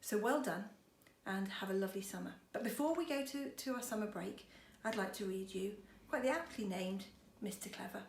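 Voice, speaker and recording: A woman's voice: mid-range voice, brisk, few pauses. A woman schoolteacher reading a storybook to children expressively, with chatty, encouraging asides. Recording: roomy echo, faint background noise.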